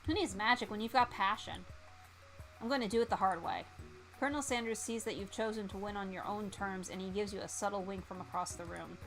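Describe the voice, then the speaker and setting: A woman's voice: mid-range voice, a little fast, fairly bright tone, faint music behind, rough recording. A woman streamer reading a visual novel game aloud in a theatrical, playful narrating style.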